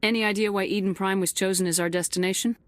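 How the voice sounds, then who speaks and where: An actress playing a calm, authoritative female commander in a video game cutscene, speaking in a firm, clipped way. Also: mid-range voice, quick pace, no echo, close to an everyday voice.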